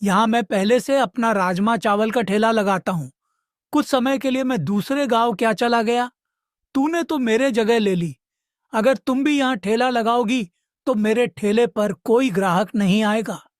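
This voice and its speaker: A man's voice: extremely high pitch, unhurried, no echo, clean studio sound. Man acting a bullying, jealous street vendor. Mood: angry, threatening. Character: angry jealous male food-cart vendor, bullying and scheming. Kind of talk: animated moral story dialogue.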